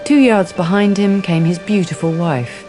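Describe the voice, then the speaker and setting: A woman playing the narrator of a crime radio drama, telling the story in a measured, dryly wry way. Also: on the low side, dark tone, soft background music, natural voice.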